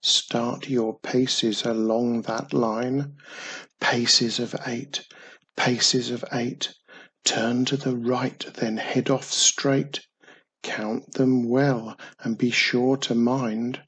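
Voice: medium pitch, little pitch movement, extremely slow, fairly bright tone, husky, heavily acted, rough recording. Self-important boy wizard from a storybook, done by a narrator, earnest, fussy, mischievous. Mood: solemn reciting.

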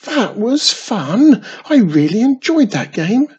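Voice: unhurried, breathy edge, dull audio, heavily acted. A narrator doing a squealing, boastful young witch. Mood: delighted. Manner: excited, high and squealing. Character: cheerful boastful young witch, bouncy and excitable.

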